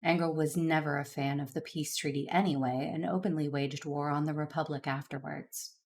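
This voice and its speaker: An actress playing a stern, wounded and suspicious Jedi master in a science fiction audio drama. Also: female voice, deep voice, fairly even intonation, a little fast, a little mellow, slight background noise. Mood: grave narration. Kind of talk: audio drama narration and dialogue.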